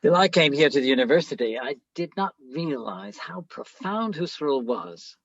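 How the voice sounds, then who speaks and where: A man's voice: a little high, big pitch swings, normal speed, fairly bright tone, dry recording, lo-fi sound. A man acting in a radio play as an easygoing young student. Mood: frank.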